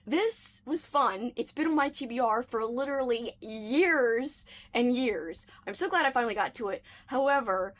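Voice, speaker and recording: A woman's voice: a little high, animated, normal speed, few pauses, fairly bright tone. Chatty woman with a slightly worn voice. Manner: chatty and enthusiastic, with playful asides. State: slightly losing her voice. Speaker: book reviewer. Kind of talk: casual reading wrap-up chat.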